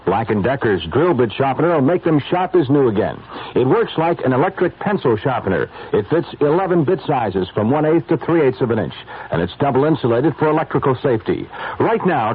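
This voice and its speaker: A thin, phone-like radio recording carries an American male spokesman reading an ad. His voice is mid-pitched and rough-edged, with lively swings as he sells. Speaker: commercial spokesman. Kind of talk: scripted radio hardware ad.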